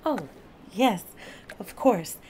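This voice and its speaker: A woman's voice: medium pitch, lively intonation, drawn-out words, darkish tone, slight background noise. A woman playing an earnest, curious, newly adult alien in an audio play, speaking in formal, precise, stilted sentences.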